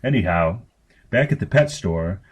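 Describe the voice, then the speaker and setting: A man's voice: deep voice, lively intonation, moderate pace, slightly rough. A man reading scripted narration of comic grotesque fiction. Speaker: story reader.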